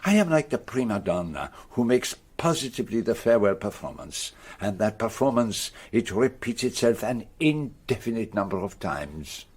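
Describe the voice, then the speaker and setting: An ageing Belgian gentleman detective in a radio play, his voice low and his French-speaking Belgian accent unmistakable. He is vain and exact, laying out each phrase with deliberate theatrical care. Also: big pitch swings, slightly rough. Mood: musing. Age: older. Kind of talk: radio drama acting.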